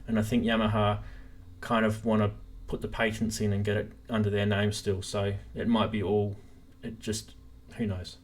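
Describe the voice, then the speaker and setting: A man's voice: lowish voice, flat delivery, moderate pace. A man hosting a casual live stream about gear, talking informally and enthusiastically straight to his viewers.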